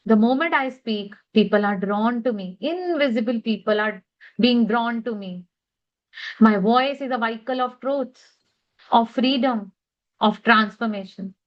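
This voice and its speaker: A woman leading a guided tapping session, speaking affirmations in a slow, measured way. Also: a little high, highs cut off.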